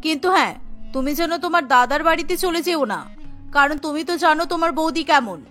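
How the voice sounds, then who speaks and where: A woman's voice: sits high, a little fast, fairly bright tone, soft background music. A woman voicing a strict, controlling mother-in-law in a cartoon, with a firm, admonishing manner. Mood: advising. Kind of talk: Bengali cartoon voice acting.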